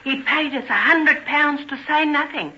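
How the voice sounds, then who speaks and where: Poor pleading mum in an old radio play, high sharp voice, chatty, deferential, dull sound. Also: female voice, moderate pace, slight background noise. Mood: distressed. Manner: deferential, tearful, folksy wording. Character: humble, distressed working-class mother, garrulous and pleading. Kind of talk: old-time radio drama acting. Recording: dull vintage radio recording.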